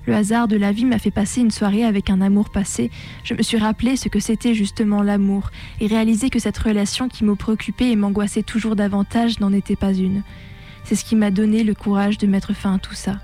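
A young woman reading a scripted personal testimony in a measured, composed, literary way. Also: mid-range voice, little pitch movement, dark tone, faint music behind. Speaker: narrator of a personal testimony on a radio show. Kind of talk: scripted first-person narration, literary testimony read aloud.

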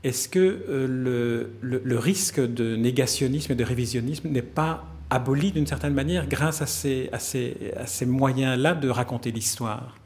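A man's voice: mid-range voice, steady tone, measured pace, hardly any pauses, darkish tone. A male journalist asking long, formal interview questions, restarting phrases as he goes.

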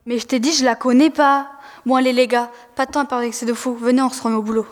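A woman's voice: fairly high pitch, steady tone, racing, big-room sound, lightly acted. A teenage woman playing a brash, dismissive merchant in an audio drama, with slangy, confrontational talk. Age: teens. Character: brash, dismissive street-talking merchant of a family clan. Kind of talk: scripted audio fiction acting.

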